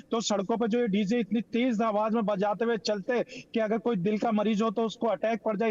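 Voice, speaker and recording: A man's voice: high voice, darkish tone. A man arguing insistently as a TV debate panelist, with rhetorical, repeated points, over a lo-fi link. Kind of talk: argumentative TV debate. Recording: dull lo-fi remote link.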